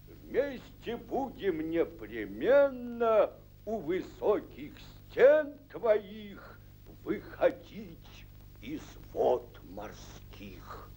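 An actor playing a solemn, commanding old giant warrior of the sea in a cartoon, declaiming verse in a stately, drawn-out way. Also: male voice, on the high side, a little mellow, exaggerated voice. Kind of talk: theatrical declamation of fairy-tale verse. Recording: noisy 1940s film soundtrack.

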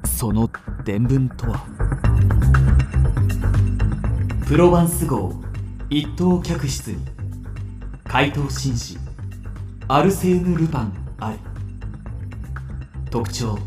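A man playing a suave, well-bred young gentleman traveller in a radio drama, measured, polished and courteous. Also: mid-range voice, animated, faint music behind. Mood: grave. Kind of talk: radio drama narration and dialogue.

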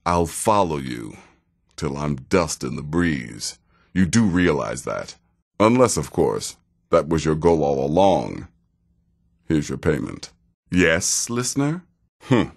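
An actor playing a cynical, seasoned male assassin in a video game, with a dry, deadpan and deliberate manner. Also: extremely low pitch, gravelly, heavily acted. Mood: sardonic, amused. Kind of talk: video game character dialogue.

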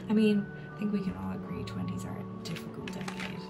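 A thirty-year-old woman talking in a relaxed, rambling voice-over, full of "like" and "um", soft-spoken. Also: medium pitch, measured pace, vocal fry. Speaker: journal video creator. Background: background music.

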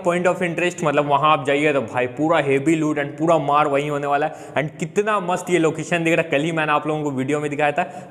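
Chatty young Indian man, gaming commentary. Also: fairly high pitch. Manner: upbeat, chatty, mixes English words into Hindi. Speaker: gaming YouTuber. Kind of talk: excited gameplay update commentary.

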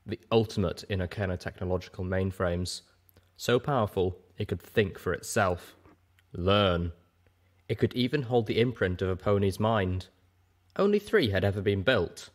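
A man's voice: sits low, lively intonation, moderate pace. Man voicing a grave, guarded old dragon. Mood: explaining, solemn. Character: huge, old, lonely, guarded male dragon. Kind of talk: audiobook character voice.

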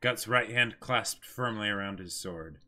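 A man's voice: fairly low pitch, unhurried, sharp tone. A man in his twenties co-hosting a livestream with casual banter and short interjections, phrased in a dry, mock-formal way. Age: 20s. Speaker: co-host of a livestream. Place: small indoor room, seated before a backdrop.

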